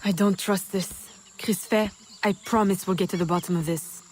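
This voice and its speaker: A woman playing a composed, determined colonial-era female assassin in a video game, measured and self-assured. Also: mid-range voice, fairly even intonation, a little mellow, natural voice. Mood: composed, resolute. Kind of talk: video game character acting.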